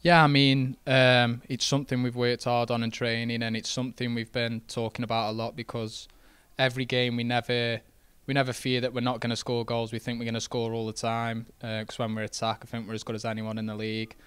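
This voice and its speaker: A young man, a footballer answering post-match interview questions in a relaxed, modest way, with many fillers and restarts. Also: mid-range voice, brisk, clean tone, no room sound. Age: young adult. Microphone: handheld interview mic.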